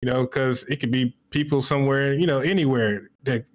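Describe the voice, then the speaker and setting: A man's voice: mid-range voice, fairly even intonation, a little fast. Easygoing man podcast co-host. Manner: affirming, easygoing. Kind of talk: casual chat.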